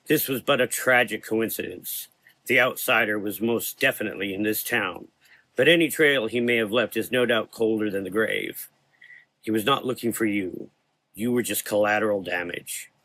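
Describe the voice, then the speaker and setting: A man acts a grim male hunter in a gothic horror drama, his voice dropped low. He is calm, commanding and dryly knowing, with measured delivery in a dry close recording. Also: no room sound. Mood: cold, dismissive.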